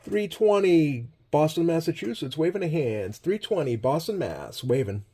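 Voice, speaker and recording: A man's voice: fairly high pitch, big pitch swings, normal speed, mellow. A man giving a casual, hands-on demonstration as he hosts at a radio workbench. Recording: clear background noise.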